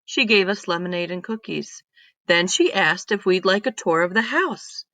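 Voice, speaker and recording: A woman reads aloud for young children in a bright, forward voice. She is expressive and instructive, as if guiding her listeners through each page, and the recording is close and dry. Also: on the low side, normal speed, no echo. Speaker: storyteller reading aloud to children. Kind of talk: children's story read-aloud.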